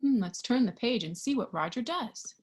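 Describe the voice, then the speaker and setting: High, very animated woman reading to children. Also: normal speed. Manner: expressive, child-directed, with questions and sound effects. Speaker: storytime reader for young children. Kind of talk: animated children's picture book read-aloud.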